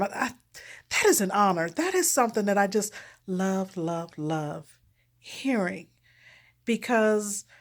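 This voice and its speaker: A woman author speaking candidly and informally to an audience, expressive and emphatic, repeating key words for effect. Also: fairly low pitch. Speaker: erotic romance author promoting their own book.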